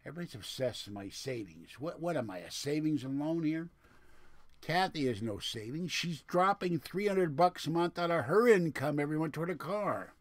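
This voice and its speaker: A man's voice: fairly high pitch, expressive, normal speed, dry recording. A man with a lisp chatting casually and rambling to viewers on a livestream, with a digressive, grumbling manner. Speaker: livestream host.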